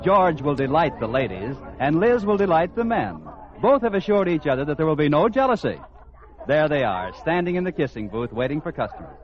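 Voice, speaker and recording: A man's voice: a little high, sing-song, a little fast. A man announcing and narrating a 1940s radio comedy from a script. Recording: muffled old radio recording. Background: music.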